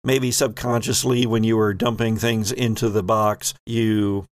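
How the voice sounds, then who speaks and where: A man's voice: fairly low pitch, flat delivery, measured pace. A man acting as game master of a tabletop RPG, narrating and ruling in a playful, teasing way.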